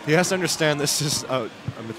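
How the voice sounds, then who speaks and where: A man's voice: a little high, animated, fast. A man doing casual, chatty live commentary on a fighting game as a co-commentator. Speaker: esports co-commentator. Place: convention center esports event. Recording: noisy event hall background.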